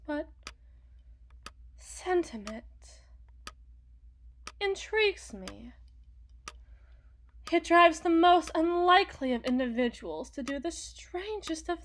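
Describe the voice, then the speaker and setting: A woman playing a cool, possessive demon in an ASMR roleplay, with formal, deliberate, theatrical phrasing. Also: sits high, fairly bright tone, a little hiss or hum, heavily acted. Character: cool, possessive female demon proposing a marriage contract. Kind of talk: ASMR roleplay, scripted dramatic monologue.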